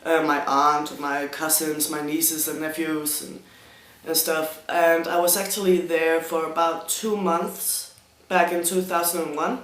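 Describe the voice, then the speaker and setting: A young Danish man with a Danish accent, answering viewer questions in a casual vlog, hesitant and self-conscious with many pauses and restarts. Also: fairly high pitch, little pitch movement, a bit bright, roomy sound. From Denmark. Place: room in front of a green screen.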